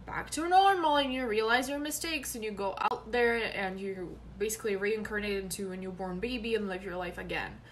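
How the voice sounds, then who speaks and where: A woman's voice: mid-range voice, slight background noise. A young woman whose first language is Ukrainian, chatting to her phone camera in a small bedroom and rambling with many fillers. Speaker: vlogger. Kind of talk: casual chat to camera. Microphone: phone camera close up.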